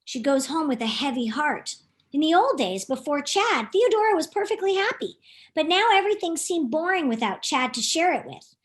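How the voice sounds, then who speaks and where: A woman's voice: high-pitched, big pitch swings, moderate pace. A woman author reading her own children's book aloud, expressively, often putting questions to young listeners. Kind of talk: animated children's storytime narration.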